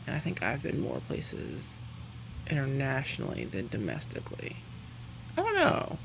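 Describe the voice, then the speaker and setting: Woman, beauty vlogger, animated pitch, vocal fry, chatty, rambling, faint background noise. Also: normal speed. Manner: chatty, rambling, self-correcting; laughs between sentences. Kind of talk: casual makeup review chat.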